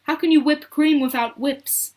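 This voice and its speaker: An eccentric, exuberant man who makes chocolate acted by a woman reading a storybook. The voice is high and theatrical, full of exclamation and command, with a manic glee. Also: fairly even intonation, moderate pace, a little mellow, natural voice. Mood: emphatic, urgent.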